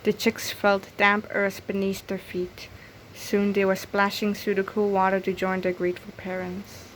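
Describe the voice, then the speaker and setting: Woman, storybook narration, expressive, medium pitch, noisy background. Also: normal speed. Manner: expressive storytelling with acted character voices. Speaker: storybook reader. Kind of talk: children's picture book read-aloud narration.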